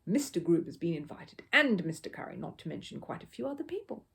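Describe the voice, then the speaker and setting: A woman acts out an older housekeeper from a children's storybook. She is brisk and bossy, scolding fondly. Her pitch jumps about in a lively way. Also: vocal fry, full character voice. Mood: mysterious, bossy. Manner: brisk, admonishing.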